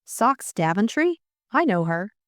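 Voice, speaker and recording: A woman's voice: mid-range voice, sing-song, a little slow, dry recording, clean studio sound, pitched above the performer's own voice. A woman voicing a spirited, sarcastic young society woman in an audiobook. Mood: matter-of-fact. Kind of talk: audiobook character dialogue.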